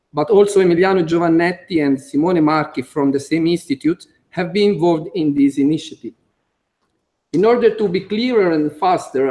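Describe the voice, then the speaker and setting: A man with a strong Italian accent, a computer engineer giving a conference talk read from notes, careful and halting with frequent fillers. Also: on the high side, darkish tone. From Italy. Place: conference room.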